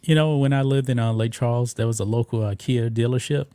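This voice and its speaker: A man's voice: mid-range voice, fairly even intonation, fast, mellow, no echo. A man co-hosting a casual chat show, laid-back and full of fillers. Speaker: podcast co-host.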